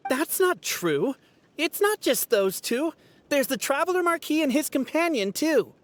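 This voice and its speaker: An actress playing an earnest, steady young companion character in a video game. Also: female voice, sits high, a little slow. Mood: firm, earnest.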